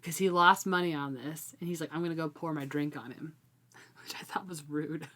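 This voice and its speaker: Female co-host, lower-pitched voice, informal book chat, animated storyteller who voices little quoted reactions. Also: normal speed. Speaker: co-host of a book discussion show. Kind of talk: casual chat, book discussion.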